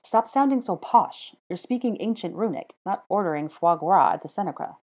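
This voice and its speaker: A woman voicing a brisk, bossy, clever young woman teacher, crisp and lecturing. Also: on the low side, expressive. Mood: brisk teasing.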